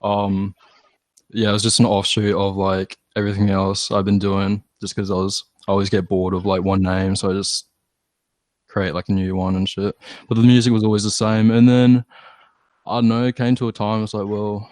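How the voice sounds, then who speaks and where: A man's voice: fairly low pitch, level tone, normal speed, darkish tone, no echo. A man chatting casually as a podcast guest, rambling, laid-back and sweary. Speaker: lead singer, podcast guest. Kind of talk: casual chat.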